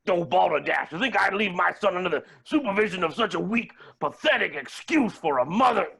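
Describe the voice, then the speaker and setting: Pompous villain billionaire, male actor: flamboyant, bright and forceful, raspy edge, staged comedy reading. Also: high voice, expressive, a little fast, full character voice. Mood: smug, pompous. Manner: pompous, grandiose.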